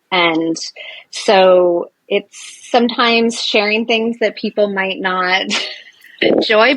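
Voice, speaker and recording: A woman in her forties, a nurse and Ayurveda practitioner, chatting as an interview guest in a loud, animated way, with lots of fillers and restarts. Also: mid-range voice, dry recording, low-quality recording. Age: 40s. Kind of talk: casual interview chat.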